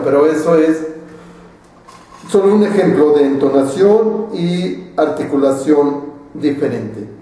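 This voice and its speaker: A man with a Mexican accent, an acting teacher telling anecdotes in a slow, deliberate lecture. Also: mid-range voice, mellow, slight background noise. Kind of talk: storytelling lecture. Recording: very echoey room, far from mic.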